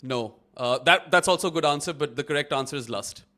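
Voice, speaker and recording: A man in his thirties hosts a live watchalong, trading jokes with a guest and breaking in with match updates. His voice sits a little high for a man, and he keeps the tone light and teasing. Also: bright, forceful tone. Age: 30s. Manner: teasing, quick laughing banter. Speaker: watchalong host. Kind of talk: casual chat with cricket updates.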